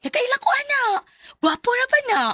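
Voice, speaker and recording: A woman's voice: very high voice, sing-song, fairly quick. A woman playing a gossipy, teasing but supportive young best friend in a sentimental radio drama, with a Filipino Bisaya accent.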